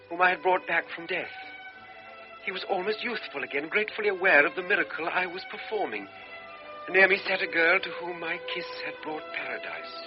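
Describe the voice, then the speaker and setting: An actor playing a young lieutenant who narrates his own story in an earnest, hesitant, introspective way. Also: sits high. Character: young lieutenant, torn and guilty. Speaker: narrator and lead character. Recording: dull lo-fi radio broadcast with music.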